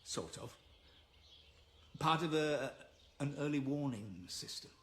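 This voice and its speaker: An actor playing a gruff, reclusive birdwatcher in a naturalistic radio drama, halting and curt but with a wistful streak. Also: male voice, a little high, expressive, fairly bright tone. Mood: grudging, guarded.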